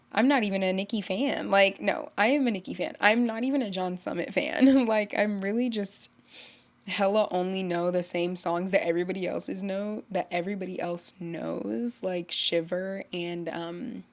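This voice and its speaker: A woman's voice: mid-range voice, normal speed. A young woman hosting a podcast, congested from illness, chatting casually and rambling with lots of "like" as she tells a story. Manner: rambling, heavy use of "like", animated storytelling. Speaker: podcast host. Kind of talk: casual solo chat.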